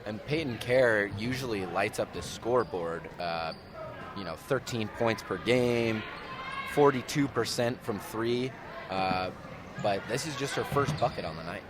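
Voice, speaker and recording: A man provides conversational color commentary during a live basketball game. His voice is on the low side. Clear gym noise and bouncing balls are heard behind him. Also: animated, measured pace. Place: indoor school gymnasium during a game.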